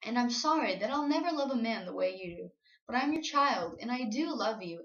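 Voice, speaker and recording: A young adult woman performing spoken-word slam poetry with an earnest, emotional, confessional delivery. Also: fairly high pitch. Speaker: slam poet. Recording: roomy, dull lo-fi home recording.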